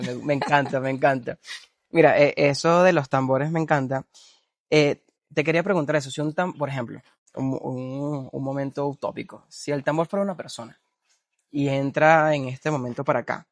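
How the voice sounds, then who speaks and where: A man's voice: a little high, no room sound. Eager man interviewing, dry sound. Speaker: interviewer, host. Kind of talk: interview conversation.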